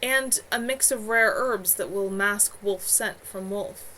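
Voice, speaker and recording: A woman voicing a male demon in a storybook, formal and deliberate as it bargains with its summoners. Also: mid-range voice, sharp tone. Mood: eager, bargaining. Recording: clearly noisy home livestream.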